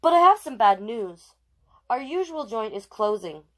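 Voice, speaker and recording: An energetic young woman who leads a band in a children's storybook , acted out by a woman reader. She speaks with friendly, heartfelt emphasis, lifted a little in pitch. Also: unhurried. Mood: regretful.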